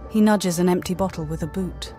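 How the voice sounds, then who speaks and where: A woman narrating a story game playthrough in a calm, even storybook manner, also voicing the player character. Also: lowish voice, brisk. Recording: game music under the voice.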